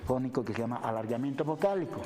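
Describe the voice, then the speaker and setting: A man's voice: medium pitch, fast, in a large hall, music in the background. A man with a Bolivian accent, a university lecturer explaining things didactically as an interview guest, punctuating his sentences with tag questions. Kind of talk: explanatory television interview talk.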